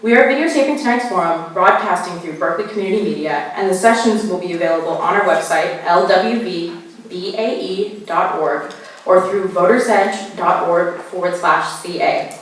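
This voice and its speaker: A woman moderating a candidate forum, reading the ground rules carefully and slowly spelling out web addresses. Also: lowish voice. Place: college meeting room. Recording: echoey hall, voice from far off.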